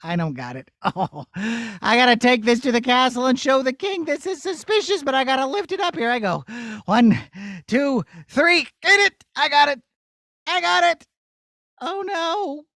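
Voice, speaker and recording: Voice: high-pitched, animated, moderate pace, fairly bright tone, dry recording, clean studio sound. A performer voicing a bumbling, good-natured, exclamatory goat herder in a children's puppet show. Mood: straining, flustered.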